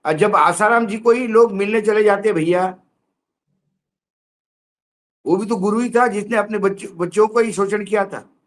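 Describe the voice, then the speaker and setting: An emphatic male commentator with a high-pitched voice speaks on a news show. He sounds indignant and argues his opinions through pointed rhetorical questions. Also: moderate pace, long pauses.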